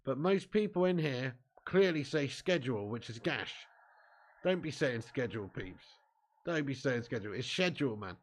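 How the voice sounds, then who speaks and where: A man's voice: medium pitch, lively intonation, normal speed, slight background noise. A cheeky young man with an English accent, hosting a football watch-along live stream and bantering while reading viewer comments. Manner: cheeky, banter-filled, laughs often.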